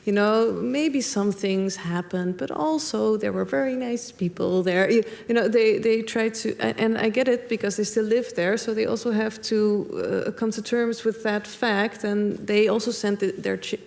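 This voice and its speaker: A young woman from the Netherlands speaks in a creaky, slightly gravelly voice. She talks hesitantly and thoughtfully in an on-stage conversation, leaning on fillers as she goes. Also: medium pitch, normal speed, hardly any pauses, a little mellow. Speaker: panel guest.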